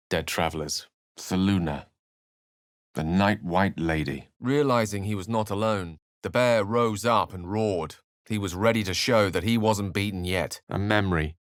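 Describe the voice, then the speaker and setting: Erudite wizard companion for a fantasy game: deep register, measured theatrical diction, wry but earnest. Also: male voice, fairly bright tone, no room sound, clean studio sound. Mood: somber. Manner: eloquent, measured, theatrical phrasing. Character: courteous, erudite human male wizard adventurer, wry and earnest. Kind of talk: video game character lines and narration.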